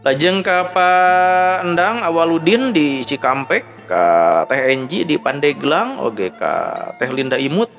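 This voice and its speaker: A man with a Sundanese accent speaking as a storyteller and host, in an expressive, conversational way. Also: on the high side, takes it slowly, hardly any pauses, lo-fi sound. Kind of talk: dramatic Sundanese folk-tale narration and listener greetings. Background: background music.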